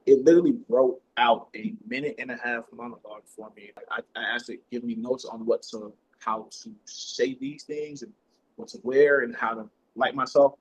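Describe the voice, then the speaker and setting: A man's voice: mid-range voice, steady tone, moderate pace, low-quality recording. A man talking casually as an actor being interviewed, with slangy, sweary, bro-heavy phrasing. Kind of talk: casual chat.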